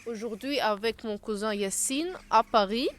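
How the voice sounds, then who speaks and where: A woman interviewing her young cousin, reading prepared questions in a slow, halting way and sometimes restarting words. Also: a little high. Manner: slow, halting delivery with restarts mid-word.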